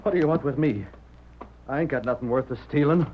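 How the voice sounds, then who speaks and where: An actor playing a self-doubting Old West rancher, folksy and hesitant, with an American Western accent in a radio drama. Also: male voice, fairly high pitch, fast talker, noisy background, lightly acted. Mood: wary, defensive. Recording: muffled vintage radio drama.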